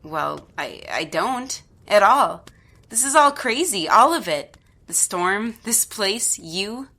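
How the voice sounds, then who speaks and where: A woman's voice: mid-range voice, big pitch swings, slow pace, pushed and bright, exaggerated voice. A woman playing a skeptical, bewildered mother in an audio drama, with a hesitant, incredulous way of talking. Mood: agitated disbelief. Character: skeptical, bewildered mother who makes dolls.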